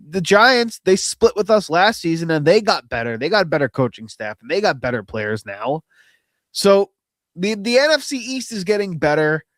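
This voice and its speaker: A man's voice: mid-range voice, no room sound, studio quality. Stuffy-nosed man rambling about football. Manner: rambling, opinionated, self-deprecating. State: stuffy, nasal from a cold. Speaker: podcast host. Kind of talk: casual solo sports commentary.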